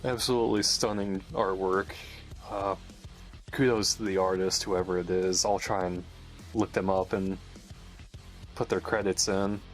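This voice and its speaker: A man's voice: sits low, steady tone, unhurried, long pauses, faint music behind. A man giving casual unboxing commentary as host, muttering to himself as he handles things. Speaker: host of a game unboxing video.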